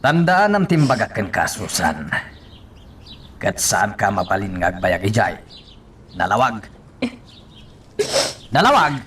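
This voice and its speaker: Radio drama bully, male actor: threatening, highly animated delivery, slight noise on the recording. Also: a little slow, long pauses. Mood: mocking, threatening. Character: domineering, threatening man mocking a pleading woman.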